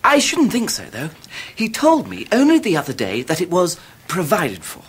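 An actor playing a soft, good-natured young gentleman in a radio drama, hesitant and trusting. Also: fairly high pitch, huge pitch swings, moderate pace, breathy edge, full character voice. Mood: sheepish, trusting. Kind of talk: 1990s British radio drama acting.

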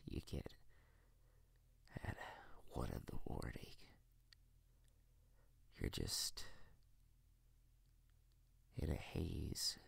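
Man, close-mic ASMR whisperer; very low, gravelly, breathy, unhurried, gently reassuring. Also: very animated, no room sound. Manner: soft, soothing, reassuring, hesitant pauses. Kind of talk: whispered ASMR comfort roleplay.

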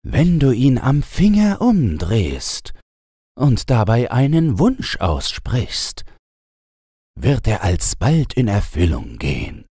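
A man voicing a solemn, grateful young eagle in a storybook, speaking in a measured way. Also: mid-range voice, wildly swooping pitch, warm tone, slightly rough, no room sound, clean studio sound, pitched above the performer's own voice, exaggerated voice.

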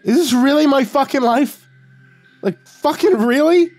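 A man performs an anxious young man in an audiobook, pitched up high and squeaky. He is sarcastic and easily flustered, his exasperation pushing toward a screech. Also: measured pace, darkish tone, soft background music. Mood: hysterical, furious. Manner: squeaky, stammering, screeching when angry. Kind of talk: audiobook character voice.

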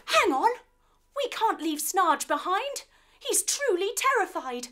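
A woman's voice: extremely high pitch, very animated, slow pace, fairly bright tone, faint music behind, pitched above the performer's own voice, full character voice. A woman voicing a brave, kind male hero in a children's story, speaking earnestly in a raised voice.

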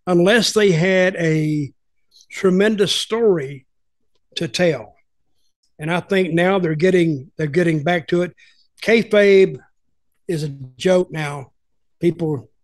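An older man telling rambling, folksy stories as a podcast host on a video call from home. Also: high-pitched. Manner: rambling, folksy, repeats words. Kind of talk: casual storytelling chat. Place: home room with lamp. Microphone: headphones, webcam video call.

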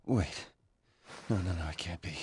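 A man's voice: low voice, moderate pace, husky, dry recording, studio quality. A man voicing an anxious, evasive young boyfriend in a video game cutscene, hesitant, stammering and flustered. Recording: loud music under dialogue.

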